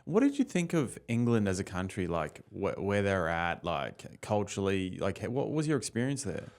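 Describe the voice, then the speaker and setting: A man's voice: fairly low pitch, sing-song, moderate pace, darkish tone. A man hosting a podcast, asking curious questions and giving short reactions in a casual interview. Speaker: podcast host.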